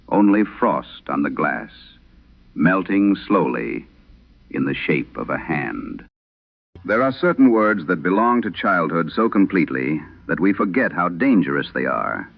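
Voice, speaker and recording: A man narrating a vintage radio horror drama as its host, reading in a grave, deliberate, dramatic manner. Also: sits low, creaky, no room sound. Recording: narrow-band vintage radio sound.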